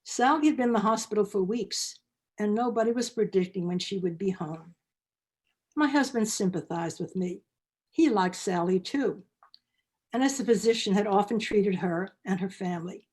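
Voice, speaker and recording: Husky woman telling a story. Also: medium pitch, moderate pace, darkish tone. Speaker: storyteller, actor. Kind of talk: dramatic storytelling of a holiday memory.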